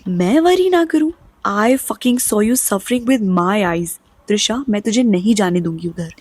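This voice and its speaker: A woman's voice: medium pitch, expressive, slow, darkish tone, dry recording. A woman playing an anxious, scolding young college girl and protective best friend in an audio drama, exclamatory and fretful. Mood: protective worry. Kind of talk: Hindi-English audio drama acting.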